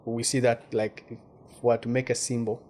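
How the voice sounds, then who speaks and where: A Ugandan man from the west of the country talks as an interview guest at a middle pitch. He is thoughtful and restarts phrases as he searches for words. A faint hiss sits under the voice. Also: flat delivery, a little fast, a little mellow. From Western Uganda. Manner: thoughtful, repeats words while searching for them. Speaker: guest journalist. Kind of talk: casual interview talk.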